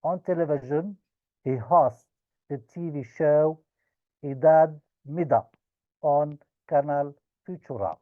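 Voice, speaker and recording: A man moderating a conference, giving a formal speaker introduction in halting short phrases. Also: a little high, no echo, phone-quality sound.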